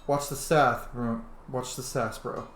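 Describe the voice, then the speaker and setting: A man's voice: medium pitch, level tone, a little slow, fairly bright tone. A man giving informal, slangy and sweary commentary while playing a roleplaying game. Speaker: game streamer. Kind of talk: casual roleplay playthrough commentary. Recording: roomy echo, faint background noise.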